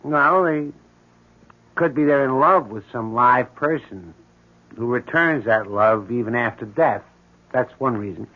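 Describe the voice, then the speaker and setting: A man's voice: medium pitch, measured pace, lightly acted. Actor as morbid, casual handyman, tinny sound. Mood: matter-of-fact. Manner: casual, matter-of-fact, brooding. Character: odd, morbid building handyman obsessed with ghosts. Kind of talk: 1970s radio drama acting. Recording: thin, tinny old radio broadcast.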